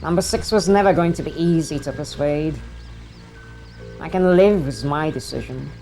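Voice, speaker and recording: A woman's voice: low-pitched, moderate pace, gravelly, dark tone, faint music behind. A woman playing a cool, assured supervisor. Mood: firm. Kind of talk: fan audio drama acting.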